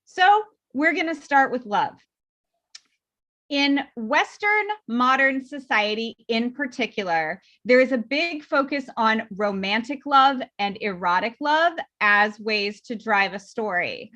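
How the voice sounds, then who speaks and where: A woman's voice: fairly high pitch, expressive, slow pace, sharp tone. A woman from the US moderating a panel over a video call in a chatty, upbeat way. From United States. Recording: slightly dull lo-fi audio.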